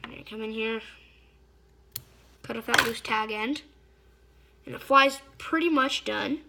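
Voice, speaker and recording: A slightly hoarse woman giving a casual hands-on fly-tying tutorial, chatty and often stopping to search for a word. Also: a little high, measured pace, bright, forceful tone, a little hiss or hum. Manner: chatty, stops to search for words.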